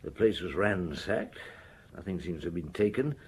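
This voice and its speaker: A man's voice: low-pitched, sing-song, normal speed, a little hiss or hum. An actor playing a wry French police detective in his fifties in an audio drama, with a dry, musing manner. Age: 50s. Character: wry fifty-year-old French police detective on holiday. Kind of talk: audio drama dialogue and storytelling.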